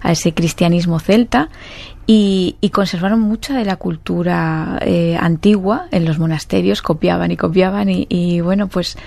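A woman's voice: lowish voice, moderate pace, vocal fry, warm tone, no room sound. A woman from Madrid, a novelist, answering radio interview questions with many hesitations and fillers. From Madrid, Spain. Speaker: novelist guest. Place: radio studio.

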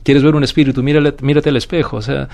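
A man with a Peruvian accent, a guest speaking calmly and reflectively in an interview, often restarting and asking "you know?". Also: mid-range voice, quick pace, creaky. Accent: Peruvian Spanish. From Peru. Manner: calm, thoughtful, many restarts and "¿sabes?". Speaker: guest, paranormal investigator.

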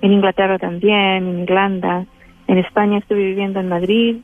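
A woman from Chile with a Chilean-tinged Spanish accent, speaking as an interview guest in a halting way, with many fillers and restarts. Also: medium pitch, level tone. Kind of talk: hesitant conversational interview. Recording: tinny phone-like line with faint music.

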